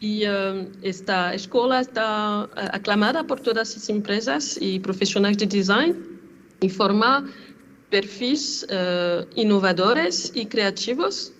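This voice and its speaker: A woman's voice: mid-range voice, expressive, unhurried, a little hiss or hum. A woman from France speaking Brazilian Portuguese with a French accent, giving a hesitant panel presentation over a remote call, full of fillers and restarts. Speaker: panel speaker, design school head. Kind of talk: halting presentation in a second language. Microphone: remote video call.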